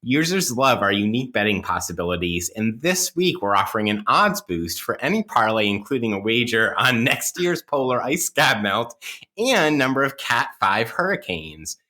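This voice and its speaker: Animated man reading a spoof podcast ad. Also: normal speed. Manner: exaggerated salesman pitch. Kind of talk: upbeat scripted mock advertisement.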